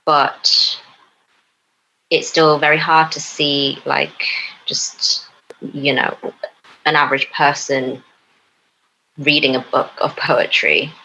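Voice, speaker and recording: A young woman from Newcastle upon Tyne, a poet talking as a podcast guest in a hesitant way, with many pauses and fillers. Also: sits low, steady tone, creaky, bright, forceful tone, a little hiss or hum, rough recording. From Newcastle upon Tyne, England. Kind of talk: casual interview chat.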